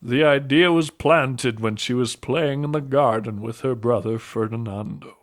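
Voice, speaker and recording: A man as measured, mid-voiced priest. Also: mid-range voice, close to an everyday voice. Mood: earnest, instructive. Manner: measured, explanatory. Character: calm priest confessor narrating a saint's story. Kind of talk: audio drama acting and narration.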